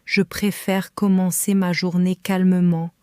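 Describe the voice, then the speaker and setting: A woman reading a simple story aloud for beginner learners, calm and careful with clearly spaced phrases. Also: fairly low pitch, unhurried, no room sound, clean studio sound. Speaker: French reader for learners. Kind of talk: slow scripted reading for beginners.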